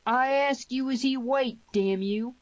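A woman voicing a huge, rough, loud frontier brawler in an audiobook Western, fierce but fair, blustering and emphatic. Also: lowish voice, animated, normal speed, no room sound, muffled recording, natural voice. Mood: fierce, boastful. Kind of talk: dramatised audiobook dialogue in Western dialect.

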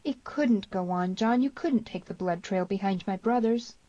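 A woman's voice: medium pitch, normal speed, dark tone, no echo, highs cut off, pitched above the performer's own voice, natural voice. A woman voicing a bold, passionate young frontier woman in a western audiobook. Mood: earnest.

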